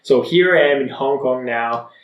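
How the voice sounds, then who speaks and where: Bulgarian man, twenties, Bulgarian native, upbeat vlogger telling his story, mid pitch, roomy echo. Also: normal speed, muffled recording. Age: 20s. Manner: energetic, motivational, conversational. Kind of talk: personal story to camera.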